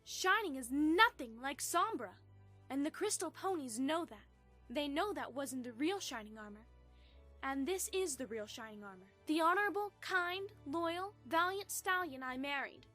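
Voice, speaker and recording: A woman's voice: high-pitched, expressive, moderate pace, sharp tone, faint music behind. A woman playing a proud, fiercely loyal pony princess and wife in an audio drama. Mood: indignant, defensive.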